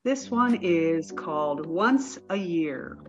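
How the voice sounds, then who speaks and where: A woman's voice: on the low side, lively intonation, slow, lo-fi sound. A woman reading stage directions as the narrator of a play, in scripted narration.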